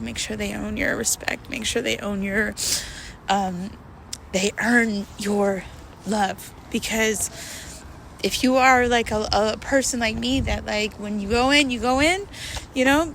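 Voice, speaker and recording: Woman, medium pitch, casual confessional vlog, candid, halting delivery, emphatic repetition, noticeable background noise. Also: vocal fry, fairly bright tone, rough recording. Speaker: vlogger.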